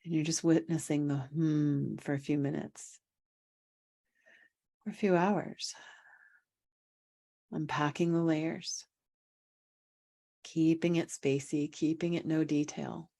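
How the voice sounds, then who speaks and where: A woman leading a guided meditation as a coach, speaking calmly and without hurry, with pauses and gently repeated phrases. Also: low-pitched, vocal fry. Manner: calm, unhurried, repetitive phrasing with pauses. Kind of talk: guided meditation, spoken instruction.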